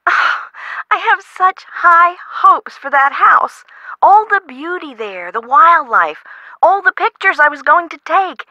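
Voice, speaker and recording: A woman's voice: very high voice, slow, no echo, dull audio, exaggerated voice. An actress playing an emotive, chatty woman homeowner in an adventure video game. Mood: wistful. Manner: emotive, expressive, colloquial. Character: frightened, dramatic woman homeowner, chatty and friendly. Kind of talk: game character dialogue acting.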